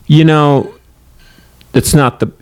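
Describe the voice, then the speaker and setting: A man's voice: on the high side, fairly even intonation, slow. A man co-hosting a podcast, easygoing and casual, often trailing off mid-sentence. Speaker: podcast co-host. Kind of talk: casual chat.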